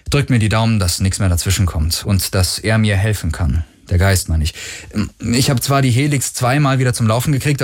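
A man's voice: lowish voice, pitched above the performer's own voice. A man voicing a nervous young pilot who rambles with fillers. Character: young male pilot, nervous, joking, restless.

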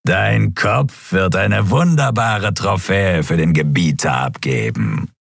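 A sneering alien cult leader, as a man puts him on, drawing out each word with slow menace. His raspy voice is gravelly and dry. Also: low voice, expressive, no room sound, full character voice. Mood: menacing.

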